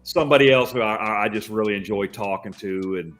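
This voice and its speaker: An older man who works as a Santa, a guest on a podcast, talking in a loud booming voice with frequent restarts. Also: fast talker, soft background music. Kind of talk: casual podcast chat.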